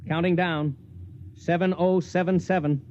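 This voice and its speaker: Commanding space captain, vintage radio drama, terse and resolute with a soft dark tone, muffled hissy audio. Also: male voice, high voice, fairly even intonation, a little slow. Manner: terse, authoritative. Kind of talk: 1950s radio drama acting.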